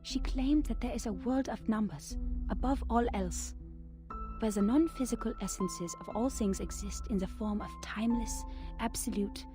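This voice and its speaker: A woman voicing a reflective female narrator reading out her own written account, measured and wistful. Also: a little high, music in the background, natural voice. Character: reflective woman recalling a strange acquaintance. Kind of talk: game narration of a written record.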